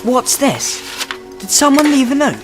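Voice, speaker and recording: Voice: sits high, big pitch swings, measured pace, music in the background. An actor in a radio drama playing a decisive, fair-minded schoolboy eldest brother with a British accent. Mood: grave.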